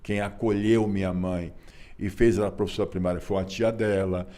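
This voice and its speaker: A Brazilian man, telling his family story in a relaxed interview, folksy and repetitive with frequent rhetorical tags. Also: low voice, moderate pace. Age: 60s. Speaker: interview guest, author and businessman.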